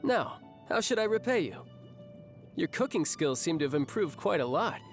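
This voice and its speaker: A man's voice: on the high side, very animated, normal speed. Game role: battle-hungry young male fighter, boastful, breezy, loyal and a bit theatrical, over a music bed. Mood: cheerful, breezy. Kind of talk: video game character voice lines. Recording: music behind the voice.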